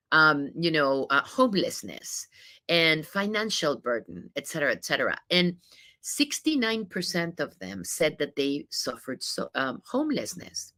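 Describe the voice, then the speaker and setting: Woman, middle-aged, Mexican accent, Spanish native speaker, emphatic presenter, bright tone, lowish pitch. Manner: emphatic, repeats key points, frequent "you know" and "right?". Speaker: think tank CEO speaker. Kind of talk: informal presentation with data.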